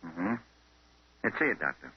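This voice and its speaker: An actor playing a wry older police inspector in a 1930s radio mystery, brisk and gruff, on an old broadcast recording. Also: male voice, low voice, very animated, lo-fi sound, exaggerated voice. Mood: brisk, pressing.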